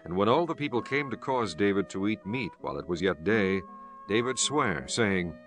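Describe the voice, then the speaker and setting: A man's voice: on the low side, lively intonation, moderate pace, fairly bright tone. A man narrating a dramatized scripture audiobook in a scripted, formal reading style. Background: instrumental music.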